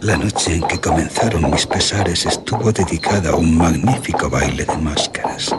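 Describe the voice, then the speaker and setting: A man's voice: rumbling low, normal speed, heavy background noise. An older man with a Castilian Spanish accent playing a grieving aristocratic general who recounts his tragedy in florid radio drama style. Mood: solemn narration. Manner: florid, theatrical, dramatic storytelling. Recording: music under the voice.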